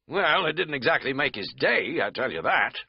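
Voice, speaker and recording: A man voicing a blunt, folksy rural innkeeper who tells tall tales in a raised, loud voice. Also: mid-range voice, big pitch swings, moderate pace, breathy edge, no room sound, lo-fi sound, voice pushed up. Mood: gossipy.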